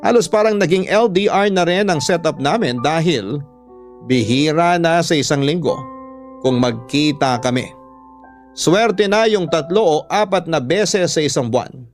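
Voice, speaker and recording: A man's voice: fairly high pitch, lively intonation, unhurried. A man with a Filipino accent reading a scripted first-person story as a radio drama narrator. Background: background music.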